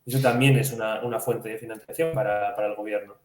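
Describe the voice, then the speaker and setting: A man's voice: fairly low pitch, fairly even intonation, fast talker, a little mellow. A man from co-hosting a financial podcast, explaining conversationally and posing questions he then answers. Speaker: podcast co-host. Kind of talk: conversational financial news discussion. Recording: echoey room.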